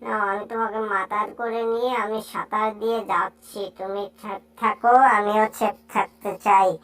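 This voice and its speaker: A woman voicing an excitable, teasing cartoon animal pal in a playful, exclaiming amateur dub. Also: mid-range voice, normal speed, recorded from a distance, close to an everyday voice. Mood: alarmed, excited. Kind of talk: amateur Bangla cartoon dub, improvised chatter.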